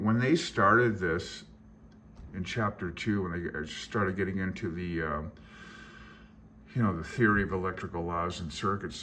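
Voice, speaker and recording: A low-pitched man rambles casually to his viewers in a folksy, self-deprecating way. He sounds like a hobbyist hosting his own small channel. There is noticeable background noise around his voice. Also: fairly bright tone. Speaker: hobbyist radio channel host. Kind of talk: casual rambling vlog talk.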